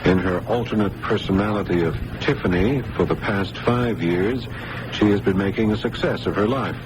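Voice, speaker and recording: A man playing an earnest, concerned hospital doctor in a 1980s radio drama, speaking in a hesitant, measured and reassuring way. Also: on the low side, close to an everyday voice. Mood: measured, reflective. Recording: noisy old radio broadcast recording.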